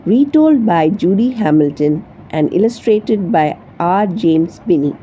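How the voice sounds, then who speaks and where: A woman reading a children's story aloud as narrator, in an expressive storytelling manner. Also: fairly low pitch, a little slow, dull audio, a little hiss or hum. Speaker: storybook reader.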